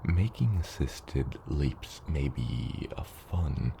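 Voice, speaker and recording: A man voicing a patient, gently teasing adult dragon father in an ASMR roleplay, with a deep, gravelly, partly whispery voice and a measured, mentoring manner. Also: animated, noisy background, exaggerated voice. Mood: encouraging, amused. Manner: slow, measured mentoring with hesitant asides. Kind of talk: ASMR roleplay, acted monologue to the listener.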